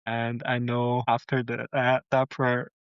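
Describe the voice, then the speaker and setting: A young man with a Mandarin Chinese accent recounts his story earnestly, pausing and restarting as he finds his English words. His voice is smooth and clear, recorded close and dry with a slightly dull, lo-fi tone. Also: medium pitch, completely flat delivery, no echo. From China. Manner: earnest, halting, frequent restarts. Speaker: podcast guest. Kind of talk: personal storytelling.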